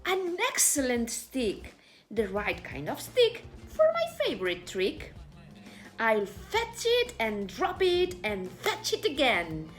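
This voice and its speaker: A woman's voice: a little high, wildly swooping pitch, takes it slowly, faint music behind, exaggerated voice. A woman voicing an eager, playful dog in a children's storybook, speaking with gleeful emphasis. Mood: eager delight. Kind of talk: children's storybook read-aloud.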